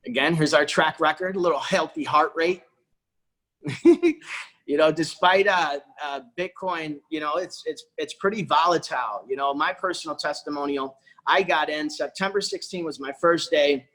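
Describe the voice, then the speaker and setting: A man's voice: a little high, moderate pace, bright, forceful tone, poor audio. A man giving a persuasive sales presentation on a corporate video call, often calling out to his audience. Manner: persuasive, repeats "folks" and "okay".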